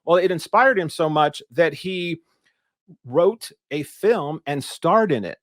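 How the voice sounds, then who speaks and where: A man hosts an interview podcast, and his voice sits a little higher than most men's. He rambles enthusiastically with frequent stumbles and uhs, in a dry, close recording with no room echo. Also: animated, takes it slowly. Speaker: podcast host. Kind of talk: interview host introduction and questions.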